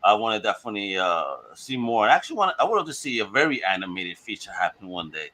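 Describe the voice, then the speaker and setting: Bright-voiced, excited male podcast host. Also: fairly low pitch, quick pace. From Brooklyn, New York. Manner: enthusiastic, hypes guests up. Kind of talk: casual chat.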